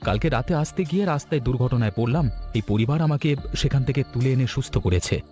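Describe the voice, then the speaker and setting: A man voicing an upright, firm but grateful government project director in a cartoon story, speaking in a formal, measured way. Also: mid-range voice, lively intonation, dark tone, faint music behind, close to an everyday voice. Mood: firm, official. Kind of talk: Bengali cartoon story voice acting.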